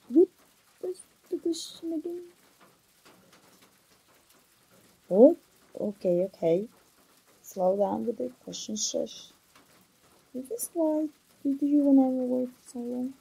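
A young woman voicing an engaged woman confiding in an old friend, in a soft, breathy, close ASMR roleplay style. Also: high-pitched, animated, slow, long pauses, full character voice, low-quality recording. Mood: defensive, coaxing. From Mexico.

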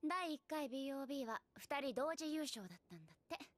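A woman's voice: high-pitched, expressive, fast talker, no room sound. A woman voicing a cool, confident teenage girl sniper in anime dialogue, self-assured and teasing.

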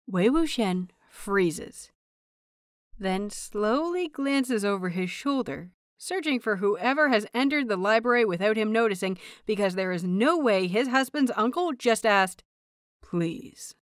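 A woman reading a story aloud as an audiobook narrator, in expressive scripted narration. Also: mid-range voice, unhurried, no echo, studio quality. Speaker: audiobook narrator of fan fiction.

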